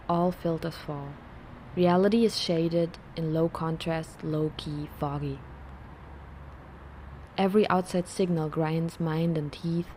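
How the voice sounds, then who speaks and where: A woman narrates in a calm, measured, reflective way, reading a poetic script. Her voice sits low and has a dark, mellow tone. A faint hiss runs under it.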